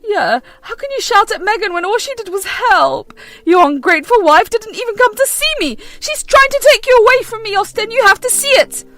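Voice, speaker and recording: A woman doing a shrill, manipulative mother-in-law. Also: expressive, fairly quick, a bit bright, dry recording, faint music behind. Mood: indignant.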